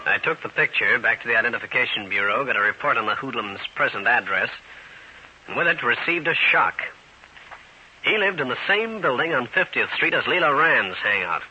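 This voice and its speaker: An actor playing a wisecracking New York private detective in an old radio drama, terse, slangy and wry. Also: male voice, medium pitch, lively intonation, moderate pace, sharp tone, breathy edge, exaggerated voice. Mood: wry narration. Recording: dull, hissy old radio recording.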